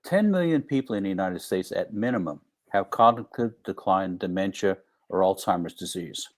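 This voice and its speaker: Male guest expert, slightly low voice, explanatory live-discussion style, emphatic and declarative delivery. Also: animated, moderate pace. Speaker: guest doctor.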